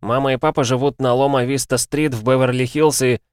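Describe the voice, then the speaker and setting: A man's voice: mid-range voice, steady tone, fairly quick, smooth, no room sound, clean studio sound, pitched above the performer's own voice, natural voice. A man voicing a frightened young boy in an audiobook, crying and desperately pleading with his parents. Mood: shaken.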